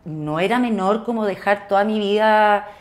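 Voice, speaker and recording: A middle-aged woman from southern Chile with a Chilean accent, reminiscing casually and thinking aloud in halting phrases. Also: medium pitch. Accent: Chilean Spanish. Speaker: actress, interview guest.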